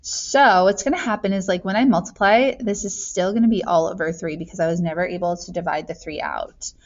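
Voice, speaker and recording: A woman's voice: fairly low pitch, a little fast, lo-fi sound. A woman teaching math, talking through worked examples in a casual, chatty way and thinking aloud with joking asides.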